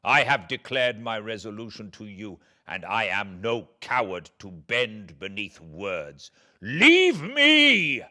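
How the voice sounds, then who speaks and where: Male reader as defiant gentleman, raised strained pitch, forceful, shouted, impassioned gothic audiobook declamation. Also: very animated, takes it slowly, few pauses, heavily acted. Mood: furious, defiant. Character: anguished, defiant, furious gentleman confronting his enemy. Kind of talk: dramatic audiobook reading of classic novel.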